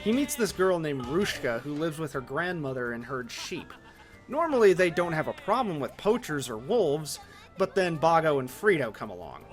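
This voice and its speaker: Higher-pitched man narrating a video essay. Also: sing-song, normal speed, faint music behind. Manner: opinionated, conversational asides.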